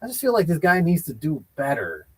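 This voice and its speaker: A man's voice: on the high side, huge pitch swings, fairly quick, slightly rough. Blunt, opinionated male podcast host. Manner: blunt, opinionated, hedges with "I feel like". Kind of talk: casual wrestling chat show.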